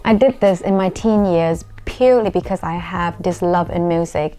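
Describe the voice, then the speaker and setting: A young woman with a Thai accent talks straight to camera, her voice sitting on the low side. English is not her first language, and she explains things in an earnest, self-deprecating way. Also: warm tone. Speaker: YouTuber. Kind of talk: talking to camera.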